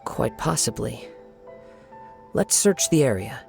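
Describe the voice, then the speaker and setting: A man voicing a calm, thoughtful young wandering samurai in a video game, speaking in measured, formal phrases. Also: mid-range voice, creaky, soft background music, exaggerated voice. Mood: calm, pensive.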